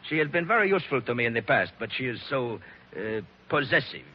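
A man's voice: mid-range voice, very animated, fast, breathy edge. A man playing a suave, vain, menacing Sicilian bandit chief with an Italian accent and courtly, boastful manner in a 1940s radio drama. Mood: suave, mocking. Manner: courtly, mock-polite, boastful. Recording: dull, noisy old radio recording.

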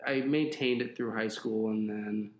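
A man's voice: lowish voice, steady tone, measured pace, echoey room. A young adult man co-hosting a podcast, chatting casually and rambling a little with fillers. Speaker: podcast co-host.

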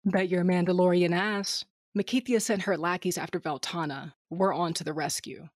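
A woman's voice: fairly low pitch, steady tone, normal speed, no room sound, studio quality, lightly acted. A woman playing a brash, cynical smuggler captain, narrating an audio drama in a wry, flippant first person. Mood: resolute.

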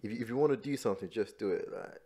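A man's voice: lowish voice, racing, mellow, slightly rough. A young man, born in Nigeria and raised in America and Nigeria, co-hosting a casual podcast chat. He stammers, repeats words and laughs often. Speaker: podcast co-host.

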